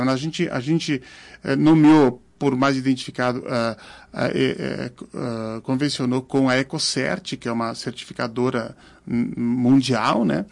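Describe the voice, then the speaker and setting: Creaky-voiced southern Brazilian man in his fifties. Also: medium pitch. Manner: earnest, halting, repeats words as they search for phrasing. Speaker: interview guest, organic farmer. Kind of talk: conversational interview answers.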